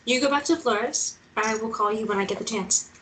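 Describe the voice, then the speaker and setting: A woman's voice: on the high side, moderate pace, fairly bright tone, slight background noise, natural voice. A woman playing a determined, stubborn journalist in a drama read-through, earnest and persistent in her way of talking. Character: determined female journalist, pleading yet stubborn.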